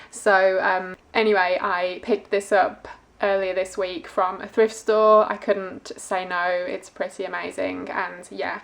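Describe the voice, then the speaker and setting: A woman's voice: mid-range voice, creaky. A woman talking casually and conversationally as a book vlogger, in an easygoing, informal way. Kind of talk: casual chatty vlog about books.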